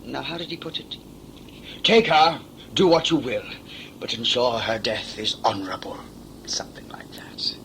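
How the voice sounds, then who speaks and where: A man's voice: mid-range voice, lively intonation, normal speed, vocal fry, fairly bright tone, slight background noise, rough recording. A man playing a smug, talkative villain in a sci-fi audio drama, a covert saboteur who is condescending and mock-polite.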